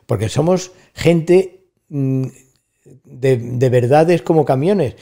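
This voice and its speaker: A middle-aged man with an Andalusian accent talks through ideas and anecdotes at his own pace. His voice is dark and mellow. He steers the chat with rhetorical questions and small stories. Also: medium pitch, takes it slowly, creaky. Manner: storytelling, thoughtful, with rhetorical asides. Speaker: guest, university staff member. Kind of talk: casual conversation on a podcast.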